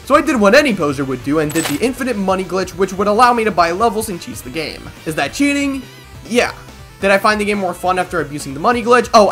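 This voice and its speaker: Man, lively pitch, fast pace, casual video essay host, opinionated, loose mild swearing. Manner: casual, mildly profane, opinionated. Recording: music behind the voice. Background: background music.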